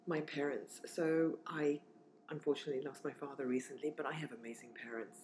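A woman's voice: low-pitched, fairly even intonation, fairly quick, darkish tone. A woman in her forties from southern Africa, talking casually and reflectively as an interview guest, with plenty of fillers and restarts. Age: 40s.

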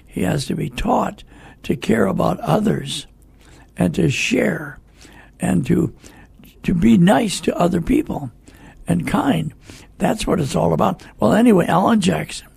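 Gravelly, husky older man hosting radio talk. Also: sits high, animated, a little mellow, no echo. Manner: folksy, halting, addresses listeners directly. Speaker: radio talk show host. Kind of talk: conversational radio commentary.

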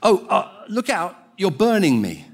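A nervous storybook ghost, acted out by a man, raised well above his own voice. It is flustered and evasive, swooping about in pitch as it frets. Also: wildly swooping pitch, a little slow, full character voice. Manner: flustered, polite excuses, whimpering.

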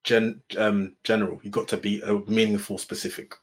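A man's voice: lowish voice, flat delivery, brisk, slightly rough. A man in a casual group discussion, making punchy points with sayings and closing them with "full stop". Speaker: panel guest.

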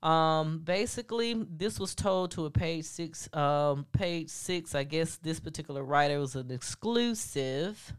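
A woman hosting a casual gossip podcast, with a deep voice and a stuffed-up nose, talking frankly with lots of ums and asides to her listeners. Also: expressive, measured pace, hardly any pauses, clean tone. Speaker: podcast host. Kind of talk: casual celebrity gossip commentary.

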